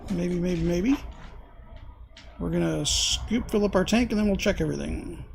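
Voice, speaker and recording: A man with a fairly high voice and an extremely gravelly, rough edge, hoarse as if his voice is giving out. He chats through his gameplay stream at an easy pace, thinking aloud and clearing his throat now and then, with a faint hiss of noise behind him. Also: moderate pace. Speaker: game streamer. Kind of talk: casual gameplay commentary.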